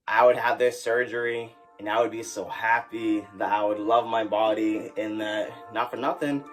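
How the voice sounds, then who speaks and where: A man giving somber, earnest personal testimony in an interview. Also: fairly low pitch.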